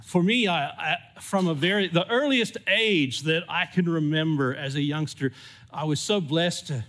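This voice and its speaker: A man's voice: a little high, normal speed, fairly bright tone. Earnest man preaching, mixed accent. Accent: mixed American and southern African. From California. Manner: earnest and heartfelt, with frequent ums. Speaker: church elder preaching. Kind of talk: sermon. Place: church service.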